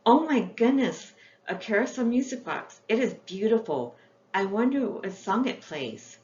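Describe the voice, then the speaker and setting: A woman voicing an excited, affectionate young girl in a gushing children's storybook reading. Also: fairly high pitch, measured pace, pitched above the performer's own voice. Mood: delighted, grateful. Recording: echoey room, dull sound, faint noise.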